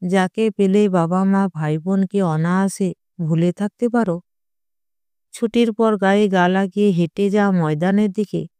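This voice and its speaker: Mellow, wistful older man, read by a narrator. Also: medium pitch, clear, resonant tone, no echo, natural voice. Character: wistful older family friend, a man, fondly chiding.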